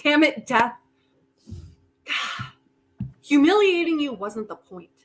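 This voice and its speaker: Defiant female inventor, played by an actress, slow, high-pitched, insistent, emphatic, stage-play reading. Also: sing-song, full character voice. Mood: urgent, pleading. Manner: insistent, dramatic pauses, emphatic repetition. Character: defiant, grieving inventor bargaining stubbornly with Death.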